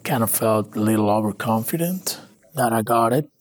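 A man from Venezuela, a native Spanish speaker with a Latin American accent, telling his recovery story haltingly in an interview. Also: lowish voice. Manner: halting, with frequent restarts and fillers. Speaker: interview guest. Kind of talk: personal recovery story, conversational.

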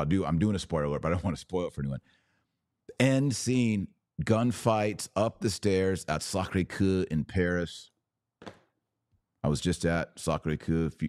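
A man with a slightly raspy voice, worn from hours of talking, hosting a casual religious commentary podcast in short, emphatic phrases. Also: low-pitched, a little mellow, no echo, clean studio sound. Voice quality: a little raspy. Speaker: podcast host.

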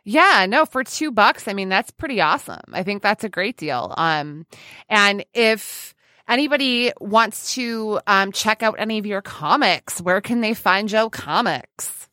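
A woman's voice: mid-range voice, lively intonation, a little slow, pushed and bright, no room sound, studio quality. A woman hosting a podcast in casual interview chat, enthusiastic and effusive, often giggly. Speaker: podcast host.

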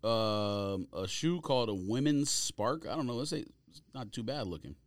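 A man's voice: fairly low pitch, lively intonation, moderate pace, no echo, clean studio sound. A man co-hosting a podcast in casual banter, with a joking, slangy way of talking. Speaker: podcast co-host. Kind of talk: casual banter about sneaker releases.

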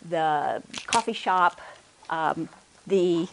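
Quiet-voiced woman talking at a church workshop, halting, restarts a lot, mic in hand. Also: on the low side, gravelly, slight background noise. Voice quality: soft-spoken. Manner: hesitant, with many restarts and "okay?" check-ins. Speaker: workshop speaker. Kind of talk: conference workshop talk. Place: conference room. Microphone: handheld or stand microphone.

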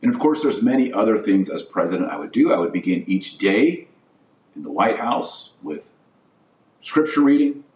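American male podcaster, low pitch, emphatic speechmaking with weighty pauses, recorded at a distance from the mic. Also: sing-song, darkish tone. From United States. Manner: emphatic, deliberate phrasing with stressed pauses. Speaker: podcast host. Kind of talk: political platform monologue, speech-like. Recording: roomy echo, distant from mic.

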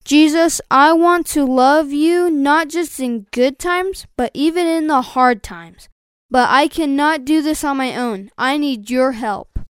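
A man's voice: very high-pitched, a little slow, fairly bright tone, dry recording, clean studio sound, voice pushed up. A man voicing a small, young male ant in a children's story, childlike, curious and earnest. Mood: earnest, pleading.

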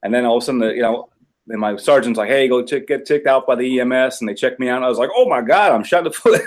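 A man in his ordinary middle pitch talking casually. He rambles through his story in a relaxed, unhurried way, full of "like" and "you know". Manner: laid-back, rambling storytelling with many fillers. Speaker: police officer guest. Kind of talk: casual chat.

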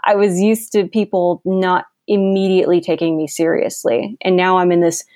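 A woman's voice: lowish voice, flat delivery, normal speed, warm tone, no room sound, clean studio sound. A young woman guest on a podcast interview, talking in a bubbly, enthusiastic way and laughing often. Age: young adult. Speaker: guest copywriter.

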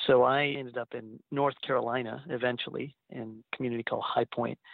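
A man in his thirties, from Liberia, a physician guest giving measured, explanatory interview answers over a phone line. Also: medium pitch, creaky, no room sound. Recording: dry tinny phone line.

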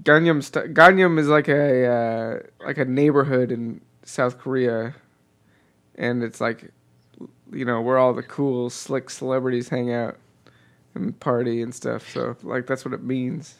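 A man's voice: mid-range voice, steady tone, unhurried, a bit bright. A man in casual conversation, eagerly explaining things with lots of fillers. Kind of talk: casual chat over a delayed call.

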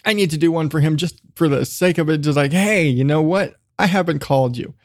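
A man's voice: a little high, a little fast, no echo. A man hosting a solo podcast, chatting casually in a rambling, self-deprecating way with some casual swearing. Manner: casually profane. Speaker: podcast host.

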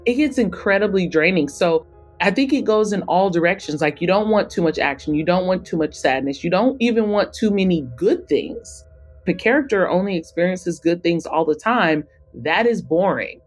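A woman's voice: lowish voice, lively intonation, moderate pace, husky, soft background music. A woman speaking as an interview guest in a conversational, emphatic way. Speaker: podcast guest, author and publisher.

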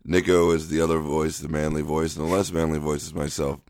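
A man co-hosting a casual comedy podcast, joking and laughing through quick back-and-forth chat. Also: extremely low pitch, little pitch movement, a little mellow, dry recording, studio quality. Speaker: podcast co-host.

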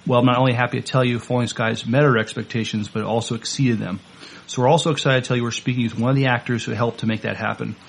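A male podcast host with a middling pitch and plenty of fry in his voice. He moves along quickly, presenting and questioning in a courteous, slightly formal manner. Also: steady tone, slight background noise. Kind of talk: podcast introduction and interview.

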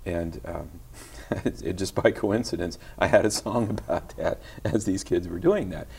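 A middle-aged man with a very low, gentle voice chats as a guest in an interview. He speaks softly and hesitantly, restarting and repeating words as he gathers his thoughts. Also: moderate pace, vocal fry, breathy edge. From Virginia, USA. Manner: soft-spoken, hesitant with many restarts. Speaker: guest songwriter. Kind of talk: casual interview chat.

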